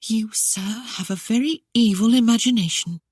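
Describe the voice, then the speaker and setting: A man's voice: high-pitched, normal speed, darkish tone, slightly rough, dry recording, studio quality, voice pushed up. A man putting on a prim, indignant young lady. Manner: huffy, scolding. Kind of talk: audiobook character voice.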